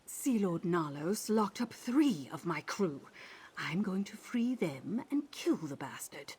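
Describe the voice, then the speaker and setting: Low-voiced, sardonic seafaring mercenary. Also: female voice, big pitch swings, takes it slowly, darkish tone, husky, full character voice. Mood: dry, determined. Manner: dry, sardonic. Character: tough, sardonic seafaring mercenary captain, vengeful woman. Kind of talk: video game character dialogue.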